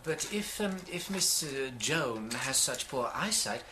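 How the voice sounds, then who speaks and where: An actor playing a mild, shrewd English priest in a radio drama, with a British accent and a polite, hesitant, gently probing manner. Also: male voice, mid-range voice, lively intonation, unhurried, background noise, lightly acted. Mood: curious, hesitant.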